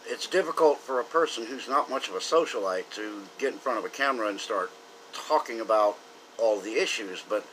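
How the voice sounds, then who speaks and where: A man's voice: fairly low pitch, a bit bright, slight background noise, poor audio. An American man from the South giving an earnest, opinionated, unscripted political monologue to camera, speaking emphatically. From southern United States. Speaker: political commentator.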